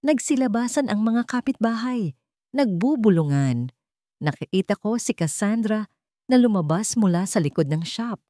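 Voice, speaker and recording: A woman's voice: mid-range voice, expressive, moderate pace, dark tone, no room sound, clean studio sound. A woman narrating a romance story in an emotive, dramatic, first-person storytelling manner.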